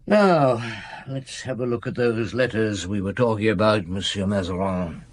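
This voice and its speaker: An actor playing a shrewd, patient chief inspector in a radio drama, with a dry, probing, unhurried manner. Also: male voice, on the low side, background noise, close to an everyday voice. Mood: shrewd questioning. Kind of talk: radio drama acting with narration.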